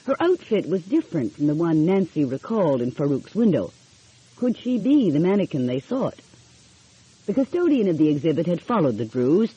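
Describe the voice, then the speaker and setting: A woman narrating an audiobook in an expressive storytelling style. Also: low-pitched, normal speed, husky, dry recording. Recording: dull, lo-fi audio.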